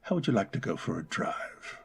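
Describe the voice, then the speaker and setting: A man playing a melancholy, reserved gentleman with formal, polite manners in a radio drama. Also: low voice, very animated, a little fast, gravelly, a little hiss or hum, full character voice. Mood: curious, charmed. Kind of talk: old-time radio drama acting.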